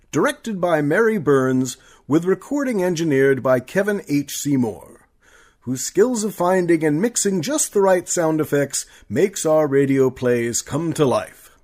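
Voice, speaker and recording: A man's voice: fairly high pitch, darkish tone. A man reading scripted credits and announcements as a radio announcer.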